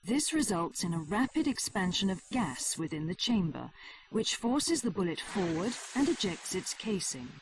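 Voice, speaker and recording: A woman's voice: medium pitch, very animated, normal speed. A woman reading scripted technical narration in a formal, explanatory manner. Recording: distant mic with faint noise.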